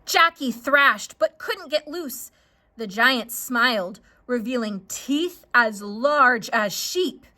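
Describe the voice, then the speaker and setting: A woman narrating a scripted children's story in a dramatic, expressive way. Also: a little high, slow pace.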